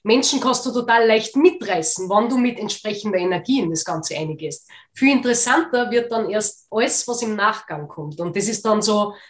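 A woman with an Austrian German accent, a motivational coach who talks in a lively, energetic way in a casual interview. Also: medium pitch, moderate pace, hardly any pauses. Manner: lively, energetic, laughs easily. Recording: roomy echoey recording.

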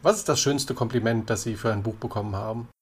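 A man's voice: medium pitch, fast, a little mellow, slight background noise. A man working as an interviewer, putting short prepared questions to a guest.

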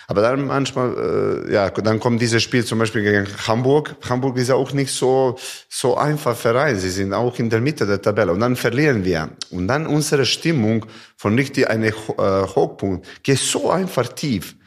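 A handball player in a podcast interview, speaking halting non-native German with many fillers, originally from Montenegro. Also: male voice, mid-range voice, lively intonation. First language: not German.

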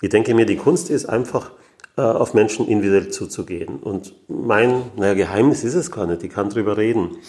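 Austrian man in his sixties, lower-pitched voice. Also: fairly quick, darkish tone. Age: 60s. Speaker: interview guest, business owner. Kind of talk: conversational interview answers.

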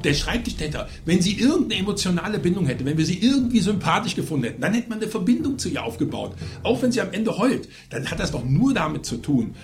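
A man with a slightly high voice argues his view as a film critic in a review discussion. He is insistent and emphatic, pressing each point. Music plays behind him. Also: very animated, fast talker, hardly any pauses.

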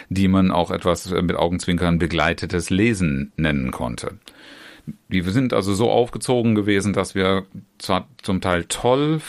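Deep-voiced German trainer explaining directly. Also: male voice. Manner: speaks in long chained clauses with frequent restarts and fillers; addresses the listener directly as "du". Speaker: trainer and trainer educator. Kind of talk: informal instructional talk on online seminars.

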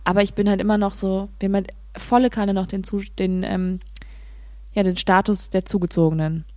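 A woman's voice: medium pitch, level tone, brisk, darkish tone, dry recording. Woman hosting, close dry sound. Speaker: podcast host. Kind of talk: casual interview chat.

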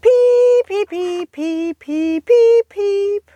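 A woman voicing a small, excitable, silly male bird with chirping interjections in a children's audio story. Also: very high-pitched, extremely slow, dry recording, pitched above the performer's own voice, exaggerated voice. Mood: giddy, cheerful. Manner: chirping interjections, giddy exclamations.

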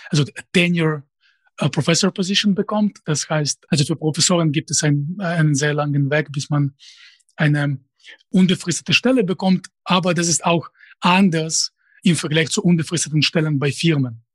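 This is a man in his late thirties who speaks with a Russian accent. His voice sits a little high and sounds husky. He talks haltingly and frankly, and the recording is dry and close. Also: no echo. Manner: hesitant, restarts phrases, direct. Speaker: professor and YouTuber, interview guest. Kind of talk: conversational interview answers.

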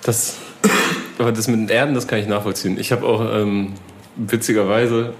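A man's voice: lowish voice, level tone, normal speed, slight background noise. A man hosting a casual interview, chatty and easygoing. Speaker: interviewer, host.

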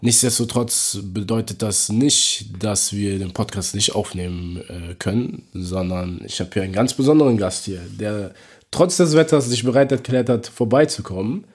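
A man hosts a casual podcast with a relaxed, slangy manner, often pausing on fillers. His voice sits a little low and has a husky edge. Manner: laid-back, slangy, hesitant with many fillers. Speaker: podcast host.

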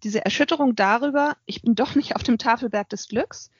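A woman's voice: fairly high pitch, a little fast, husky, no echo, muffled recording. A middle-aged woman, an author and consultant, giving a thoughtful interview with frequent hesitations. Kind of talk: reflective interview talk.

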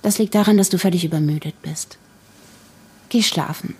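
A pragmatic, tired young mother in a fiction podcast. Her voice sits on the low side, soft-edged and husky. She questions and reassures in a plain, naturalistic way. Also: normal speed. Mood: caring, weary. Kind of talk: naturalistic German audio drama acting.

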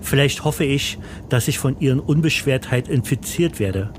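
A man playing a dull, solitary accountant in a thriller audio drama, narrating in a measured, sombre way. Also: mid-range voice, animated, noisy background, close to an everyday voice. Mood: uneasy, brooding. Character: dull, solitary male accountant, rigid and uneasy.

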